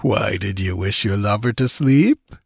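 A man's voice: fairly low pitch, a little slow, vocal fry, breathy edge, no echo, studio quality, heavily acted. A man voicing a cruel, lecherous sorcerer-priest in an audiobook story, sardonic and purring with false courtesy. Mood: taunting. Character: cruel, mocking, smugly lecherous male sorcerer-priest villain.

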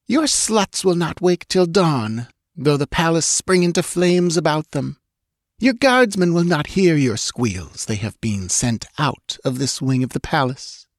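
A man's voice: on the high side, lively intonation, unhurried, no room sound, clean studio sound, pitched above the performer's own voice. A man voicing a malevolent young woman in an audiobook fantasy, sweet, mocking and imperious. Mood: callous, scornful. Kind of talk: dramatic audiobook fantasy character voice.

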